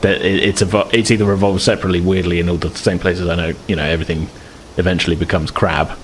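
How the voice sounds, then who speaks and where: A man's voice: low voice, level tone, quick pace, a little hiss or hum. A man improvising narration as a game master, explaining things in a hesitant, rambling way.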